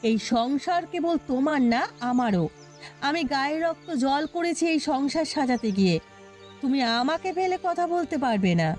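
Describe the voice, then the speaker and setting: A woman's voice: sits high, expressive, normal speed, darkish tone, faint music behind. A woman voicing a quarrelsome, suspicious village wife in a cartoon, with a scolding, accusing manner. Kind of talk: cartoon dialogue acting.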